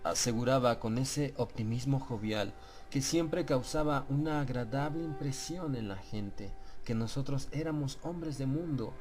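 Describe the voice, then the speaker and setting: A man reads a horror tale as an audiobook narrator, in a mid-pitched voice with faint music behind him. His delivery is grave and measured, building suspense like a dramatic storyteller. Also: darkish tone.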